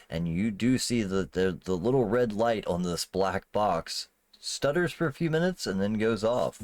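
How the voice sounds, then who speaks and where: A man's voice: lowish voice, no room sound. A man improvising narration as game master of a tabletop role-playing game, in a conversational way, often checking that he is understood. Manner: conversational, often asks "you know what I mean".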